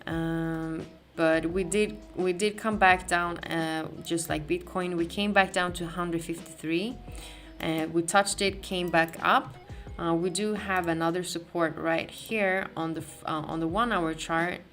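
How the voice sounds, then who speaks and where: A woman's voice: lowish voice, steady tone, measured pace, a bit bright, faint music behind. A woman from Sweden with a Swedish accent, hosting a live crypto market stream, talking casually with many restarts and fillers as she reads the chat. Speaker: crypto livestream host.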